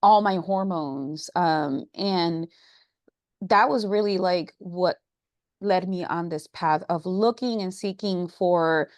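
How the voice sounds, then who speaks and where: A woman's voice: lowish voice, slow pace, no echo. A woman from Puerto Rico, a guest health coach, talking casually with many fillers. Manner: chatty, many fillers like "you know" and "um". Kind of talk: casual interview.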